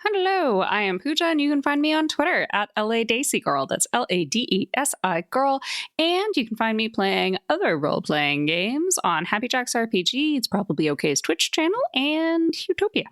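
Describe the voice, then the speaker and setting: A woman's voice: on the high side, very animated, moderate pace, fairly bright tone, no room sound, studio quality. A woman talking casually as a player in a tabletop role-playing game. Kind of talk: casual chat.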